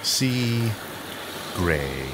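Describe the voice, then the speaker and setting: Very slow, deep-voiced man reading poetry. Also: big pitch swings, very noisy. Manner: measured, spaced-out single words and short phrases. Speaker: narrator, poetry reader. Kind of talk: slow spoken-word poetry reading over a soundscape. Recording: loud ocean waves behind the voice. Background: sea surf and lapping water soundscape.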